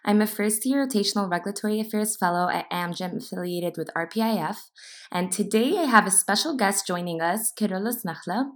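A woman in her twenties co-hosts an interview podcast. Her voice sits in a middle pitch, and she sounds upbeat and organized as she reads a prepared intro before moving into questions. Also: fairly quick. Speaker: podcast co-host.

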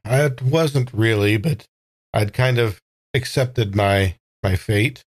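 A man playing an uncertain old man reborn in a young body, speaking haltingly with repeated words in an improvised audio drama. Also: on the low side. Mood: musing, muddled.

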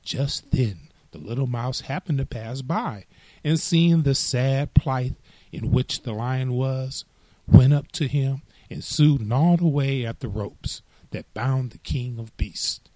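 A man hosting a storytelling podcast, reading children's fables in an expressive storybook style. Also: medium pitch, slow, no room sound.

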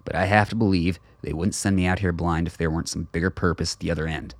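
Man acting a gruff, low-voiced old father. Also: fairly even intonation, quick pace, no echo. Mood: wary. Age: older. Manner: terse, profane, guarded. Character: gruff, suspicious old father on a covert mission. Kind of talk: radio play acting.

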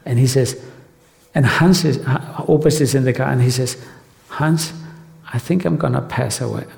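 Gravelly man telling stories in an echoey hall. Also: mid-range voice, normal speed. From Denmark. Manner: rambling, animated storytelling with restarts. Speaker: guest artist. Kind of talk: anecdotal stage conversation.

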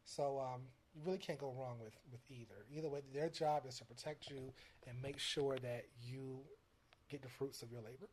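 A man with a soft, quiet voice explains things in a casual interview. He pauses often and restarts his sentences. His pitch sits in an ordinary middle range. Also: steady tone, a little hiss or hum. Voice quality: soft-spoken. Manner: hesitant, many fillers and restarts. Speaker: music producer guest.

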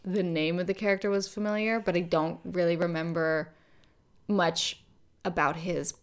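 A woman's voice: fairly low pitch, steady tone, moderate pace. A young-sounding woman co-hosting a casual TV recap podcast, hesitant and self-deprecating, with lots of filler words. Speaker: podcast co-host.

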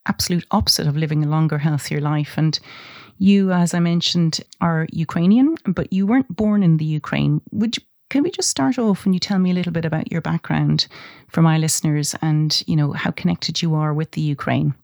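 Measured podcast host, dry sound. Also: mid-range voice, creaky, a little mellow, dry recording. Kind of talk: interviewing a guest.

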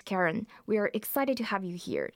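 A woman's voice: mid-range voice, sing-song, brisk, no echo. A woman hosting a podcast interview, reading a scripted introduction and asking plain questions. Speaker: podcast host.

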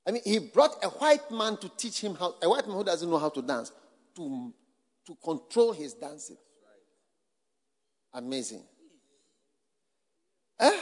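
A man with a very high voice delivers a sermon to a full hall. He speaks rhetorically, repeating phrases and building to shouts. A long reverb from the hall trails each line. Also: very animated, unhurried, long pauses. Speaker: preacher.

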